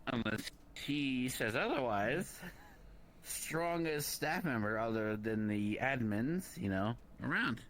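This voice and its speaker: A young man in casual online roleplay chat, boastful and teasing, talking in a halting, chatty way. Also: medium pitch, expressive, a bit bright. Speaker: roleplayer, guild staff member. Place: online virtual-reality chat. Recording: faint background noise.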